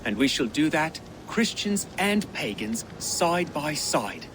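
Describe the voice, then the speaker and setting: An earnest, devout Saxon. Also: male voice, a little high, very animated, slow. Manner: earnest, pious. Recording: game cutscene with loud ambient noise.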